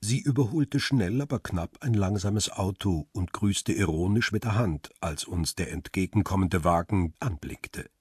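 Very husky deep voice, middle-aged man, world-weary, wry, measured radio drama narration, close and dry. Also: darkish tone, no echo, full character voice. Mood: observant, calm. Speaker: first-person narrator and lead character. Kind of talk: radio drama narration, literary crime story.

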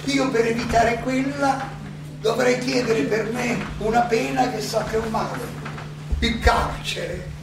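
An actor playing an aging Athenian philosopher who defends himself in a theatrical monologue, ironic and full of rhetorical questions and halting repetitions. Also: male voice, very high voice. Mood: reflective, questioning. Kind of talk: theatrical stage monologue, oratory. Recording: roomy, distant, noisy live stage recording.

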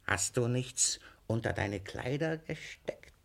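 An actor playing a paranoid, irascible old miser in a German radio comedy, raspy, blustering and suspicious, often muttering to himself. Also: male voice, mid-range voice, expressive, takes it slowly, fairly bright tone, full character voice. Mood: suspicious, threatening. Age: older. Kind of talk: German stage-comedy radio play acting.

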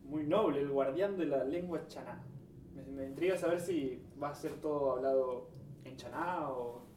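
A man's voice: mid-range voice, moderate pace, a little mellow, distant mic, slight background noise. A man chatting casually as a participant in a radio show. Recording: roomy, off-mic pickup.

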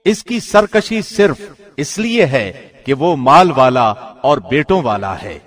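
A man reading a scripture translation from a script in a formal, measured radio-announcer manner. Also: medium pitch, sing-song, faint music behind. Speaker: translation reader, radio presenter.

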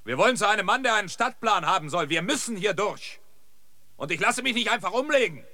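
An actor in a German radio play playing an inquisitive, steady man of eighty-six who speaks in a measured, plain-spoken, hesitant way. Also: sits high, no echo. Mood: threatening, shouting.

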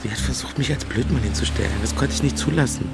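A man's voice: mid-range voice, quick pace, gravelly, loud music behind the voice, close to an everyday voice. An actor playing a defensive, resentful young man in a radio drama, talking in a self-justifying way. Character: defensive, resentful young man under his mother's sway.

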